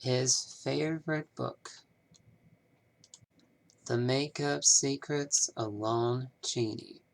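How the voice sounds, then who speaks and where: A man voicing a polite, businesslike 1930s small-town banker from a mystery game, with measured, formal phrasing. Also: mid-range voice, gravelly, rough recording. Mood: explanatory. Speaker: amateur voice actor. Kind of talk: scripted game character dialogue.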